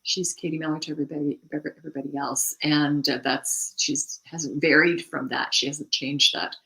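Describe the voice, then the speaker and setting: A middle-aged woman co-hosting a casual chat about writing, animated and quick to laugh, often restarting her thoughts. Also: low voice, vocal fry, rough recording. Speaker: podcast co-host.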